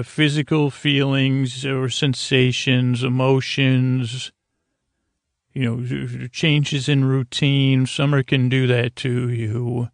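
Creaky-voiced man, flat and meandering. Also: mid-range voice, no room sound, studio quality. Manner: meandering, hesitant, self-interrupting; soothing, whimsical. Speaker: podcast host. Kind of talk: rambling bedtime podcast monologue.

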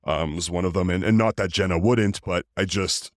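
A man who hosts a podcast, talking casually in a deep voice, rambling with fillers and restarts. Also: normal speed, dry recording, clean studio sound. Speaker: podcast host. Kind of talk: casual interview chat.

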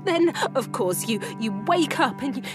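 An actress playing a grieving, bitter wife and lapsed writer in a 1930s noir audio drama, articulate and wry. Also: female voice, sits high, animated, normal speed, over music. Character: grieving, bitter English writer wife in 1937, sharp-tongued. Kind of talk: scripted gothic noir audio drama acting.

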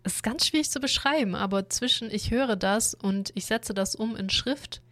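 A woman's voice: on the high side, moderate pace, fairly bright tone, no echo, faint music behind. A woman chatting casually, rambling and telling stories with animation. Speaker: former university staff. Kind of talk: casual podcast chat.

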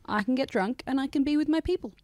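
A woman's voice: high voice, quick pace, a little mellow, dry recording. Young Australian woman, co-host, playful banter, dry close recording. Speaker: podcast co-host. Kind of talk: casual chat.

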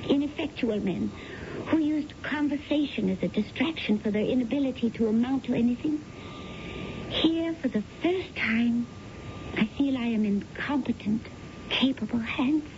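High-voiced, gushing widow played by an actress. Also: female voice, normal speed, lightly acted. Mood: wistful, admiring. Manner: gushing, breathy exclamations, theatrical. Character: effusive widowed female client, flattering and eager. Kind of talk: 1970s radio drama acting. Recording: dull, noisy old radio broadcast.

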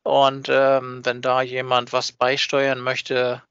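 Bright, sharp-voiced man in casual chat. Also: mid-range voice, completely flat delivery, slow, clear, resonant tone, no echo. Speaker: fan podcast co-host. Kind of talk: casual unscripted podcast chat. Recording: dull, dry, band-limited audio.

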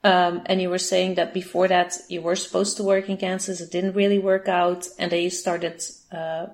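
A woman hosting an interview, friendly and quick to laugh as she asks questions. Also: fairly low pitch, level tone. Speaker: interview host. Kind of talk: conversational interview.

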